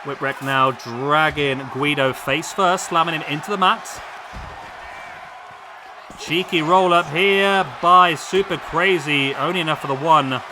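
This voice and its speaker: A man commentating on a wrestling video game, losing his voice, chatty and excitable as he calls the action. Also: a little high, a little slow. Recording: loud game crowd noise behind. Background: video game crowd and arena sound.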